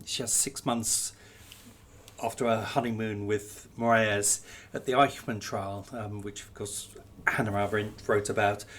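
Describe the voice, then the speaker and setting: A man's voice: mid-range voice, a little hiss or hum. A man interviewing on a podcast in a reflective, conversational way, with hesitant, tentative phrasing. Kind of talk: conversational, reflective book discussion.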